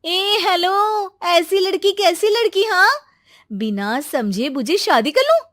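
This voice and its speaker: A woman's voice: very high-pitched, expressive, slow pace, fairly bright tone, no echo, clean studio sound, voice pushed up, exaggerated voice. A woman voicing an outspoken, stubborn young modern bride-to-be in an indignant, sassy manner for a story. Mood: defiant.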